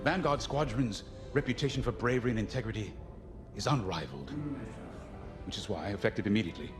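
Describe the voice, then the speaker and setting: An actor playing a brisk, no-nonsense male fleet commander addressing his squadron in a video game. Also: lowish voice, music in the background, natural voice. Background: orchestral score.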